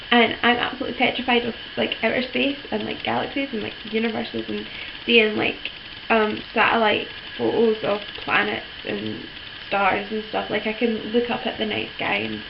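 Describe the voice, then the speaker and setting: A teenage girl, hoarse from a cold, rambling through a casual vlog with lots of ums and likes. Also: fairly high pitch, moderate pace. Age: teens. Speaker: vlogger. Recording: dull lo-fi with steady background noise.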